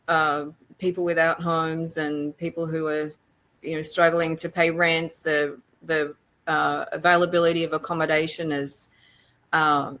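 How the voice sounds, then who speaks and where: A woman with an Australian accent speaking as a podcast guest, chatting casually in halting, reflective phrases. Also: sits low, little pitch movement, fairly bright tone, poor audio. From rural Australia. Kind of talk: casual interview chat.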